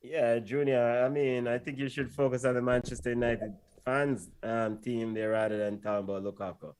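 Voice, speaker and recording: A man's voice: medium pitch, level tone, moderate pace, a little mellow, clear, resonant tone. A man doing casual play-by-play on a watch-along stream, and often pleading with the players and the referee. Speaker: co-host and match commentator.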